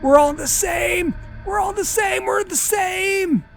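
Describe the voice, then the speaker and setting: Guy doing a panicked captive screaming and begging, panting, high gravelly voice. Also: slow, soft background music, exaggerated voice. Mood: pleading, shouting. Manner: shouting, pleading, desperate. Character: terrified captive man strapped to a table.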